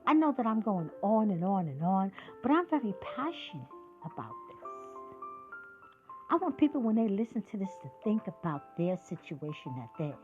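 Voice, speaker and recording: Passionate sixty-year-old woman, lively pitch. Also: narrow, tinny audio. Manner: emphatic, preachy, lively rises and drops. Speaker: podcast host. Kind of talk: passionate monologue.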